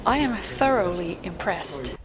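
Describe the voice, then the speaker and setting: A woman's voice: on the low side, big pitch swings, a little slow, heavy background noise. A woman talking casually to her camera as she travels through an airport, chatty and sarcastic, swearing freely. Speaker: vlogger. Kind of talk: casual travel vlog monologue. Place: airport terminal.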